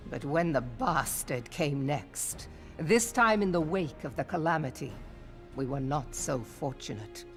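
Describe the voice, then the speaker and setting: Game role: stern seafaring female admiral, deep register, very animated delivery, salty archaic speech. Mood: grim, grave. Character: commanding, blunt female navy admiral of a fantasy realm. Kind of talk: scripted video game voice acting.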